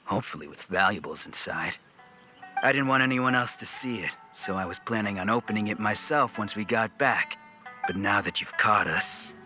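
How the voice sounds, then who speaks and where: An actor playing a boastful, roguish bandit gang boss in a video game, with a grandiose, swaggering delivery. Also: male voice, on the low side, bright, forceful tone, full character voice. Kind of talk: video game cutscene voice acting. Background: game music.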